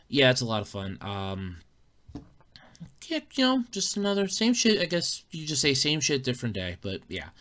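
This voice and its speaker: A man's voice: fairly low pitch, sharp tone. A man hosting a casual solo podcast, rambling with frequent fillers and restarts, his throat slightly scratchy. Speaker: podcast host.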